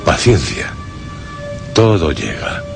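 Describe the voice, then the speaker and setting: A man presenting a scripted radio drama programme, solemn and theatrical with deliberate pauses. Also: extremely low pitch, huge pitch swings, creaky. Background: prominent music.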